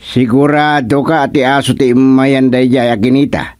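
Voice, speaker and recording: Actor as slow-talking, grumbling Ilocano village father. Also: mid-range voice, steady tone, darkish tone, faint music behind, lightly acted. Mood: curious, grumbling. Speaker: radio serial actor. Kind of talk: radio comedy-drama acting.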